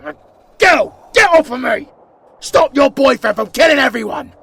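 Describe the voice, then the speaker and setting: A man's voice: very high voice, expressive, normal speed, vocal fry, husky, heavily acted. A man playing a foul-mouthed, fiercely loyal demon warrior in an audio drama, frantic and defiant. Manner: frantic, profane shouting.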